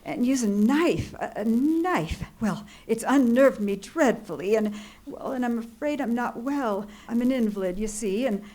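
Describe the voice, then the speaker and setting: An actress playing an anxious, imperious bedridden married woman in a radio suspense play, flustered, halting and indignant. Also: on the high side, lively intonation, dark tone. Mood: agitated, rattled. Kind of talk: old-time radio suspense play acting. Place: live theatre stage.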